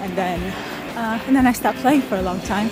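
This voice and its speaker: A woman in her thirties from Ethiopia, telling stories in a casual interview with thoughtful pauses and soft laughs. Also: on the high side, over loud music, poor audio. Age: 30s. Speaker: interviewee, pickup soccer player.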